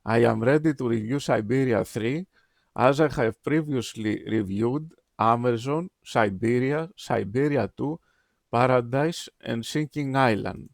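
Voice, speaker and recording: Greek-native guy reading his game review out loud, real slow and gravelly, dry close sound. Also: medium pitch, no echo. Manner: slow, deliberate, emphatic reading with many pauses. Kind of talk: reading a written review aloud.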